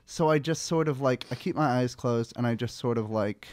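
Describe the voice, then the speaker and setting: A man's voice: mid-range voice, fairly quick, a little mellow, no room sound. A man playing a tabletop game, talking casually and hesitantly as he thinks aloud about what his character does.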